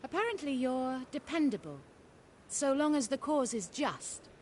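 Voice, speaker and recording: A somewhat high female voice for a cunning video game noblewoman. She is poised and genteel, choosing her words with care as she coaxes and persuades. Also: very animated, normal speed, darkish tone, slight background noise. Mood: persuasive. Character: poised, cunning noblewoman schemer, coaxing and sly. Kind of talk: video game dialogue acting.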